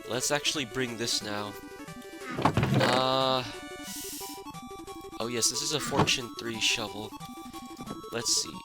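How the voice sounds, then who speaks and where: A young man with a raspy, stuffed-up voice from a cold, chatting casually as he plays a game. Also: medium pitch, takes it slowly, long pauses. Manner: rambling and relaxed, lots of fillers. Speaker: gaming channel host. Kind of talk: casual gameplay commentary. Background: background music.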